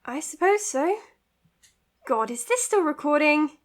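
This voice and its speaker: A woman's voice: high voice, expressive, unhurried. A woman acting an earnest, anxious young doctor in an audio drama, hesitant but caring. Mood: uneasy.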